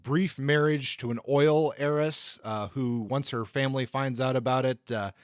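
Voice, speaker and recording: A man hosting a podcast, narrating informally in a halting, conversational way. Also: mid-range voice, fairly even intonation, clean tone, no room sound, clean studio sound. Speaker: podcast host.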